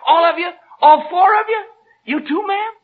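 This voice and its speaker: A man's voice: very high-pitched, normal speed, narrow, tinny audio. An actor playing a frightened, lonely broadcaster who rambles and stammers to his listeners in an old radio horror drama. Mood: giddy madness. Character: frightened lonely male radio broadcaster unravelling into giddy madness. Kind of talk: 1940s-style radio horror acting.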